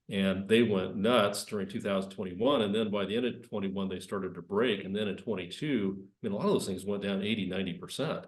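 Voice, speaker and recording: A man's voice: on the low side, echoey room. A man with a cold giving conversational market commentary as presenter, talking in loose, rambling sentences. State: has a cold. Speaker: investment presenter.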